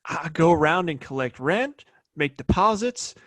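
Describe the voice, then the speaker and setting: Casting: male actor as boss's gunslinger son, swooping intonation, halting, defensive, eager to please, audio drama. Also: a little high, lively intonation, fairly bright tone. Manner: halting, protesting. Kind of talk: Western audio drama acting.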